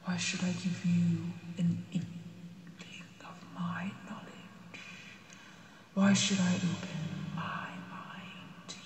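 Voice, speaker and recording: A woman voicing an ancient, proud female dragon, gentle but menacing, in a breathy, deliberate ASMR roleplay monologue. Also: fairly low pitch. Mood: haughty. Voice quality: breathy, whispery. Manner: slow, deliberate, imperious. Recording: cavernous long reverb, distant voice.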